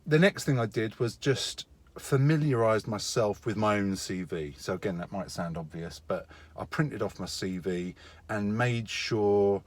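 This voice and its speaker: A middle-aged man with a fairly low voice talks through practical career advice in an informal vlog style. His delivery is matter-of-fact, with emphasis on the key words. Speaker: IT contractor giving career advice.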